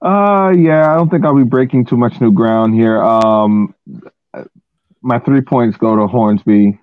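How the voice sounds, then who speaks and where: A man co-hosting a sports podcast, giving casual analysis in measured, hesitant phrases over a thin phone-like connection. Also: mid-range voice, clean tone, no room sound.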